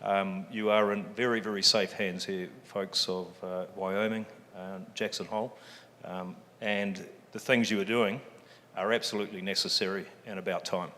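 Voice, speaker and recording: A man's voice: sits low, little pitch movement, normal speed, sharp tone, slight background noise. A man with a New Zealand accent speaking on a panel, joking and self-deprecating, with plenty of ums. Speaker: panelist, fund chief executive. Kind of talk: public forum talk. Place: large event hall. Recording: long hall reverb.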